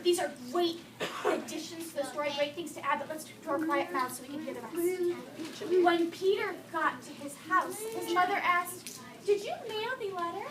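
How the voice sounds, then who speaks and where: A woman teacher reading a picture book aloud to young children, expressive, pausing to explain words and ask questions. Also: very high voice, crowd noise. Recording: echoey noisy room from far off.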